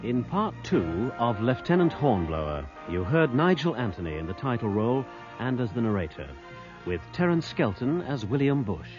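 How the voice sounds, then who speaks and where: Animated British male radio announcer. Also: medium pitch, dull audio. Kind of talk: scripted broadcast credits reading. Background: loud music.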